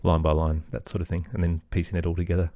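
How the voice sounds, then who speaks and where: A man's voice: low voice, flat delivery, fast talker, warm tone, dry recording. A man with an Australian accent, chatting casually as an interview guest about his work. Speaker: interview guest, children's podcast creator.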